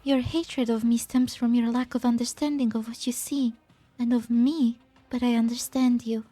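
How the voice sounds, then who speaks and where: A high-pitched, softly spoken woman acting as a lady scientist. She is elegant, formal and earnestly self-righteous, in a game fan dub with faint music behind her. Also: fairly even intonation, moderate pace, dry recording, lightly acted. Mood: calm persuasion.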